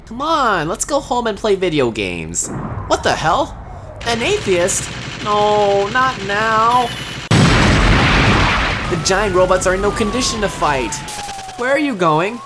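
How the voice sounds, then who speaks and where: A woman doing a comedic parody dub, sarcastic and dramatic, swinging from deadpan mutters to frantic shouts. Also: mid-range voice, slow, long pauses, very noisy. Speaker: parody voice-over creator. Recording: voice over loud background music.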